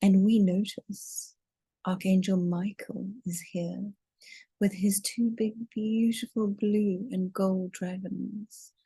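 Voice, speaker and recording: A woman leading a guided meditation in a soothing, deliberate way, drawing out her words as she narrates. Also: medium pitch, little pitch movement, gravelly, mellow, slightly rough, no room sound. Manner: soothing, deliberate, with long drawn-out counting.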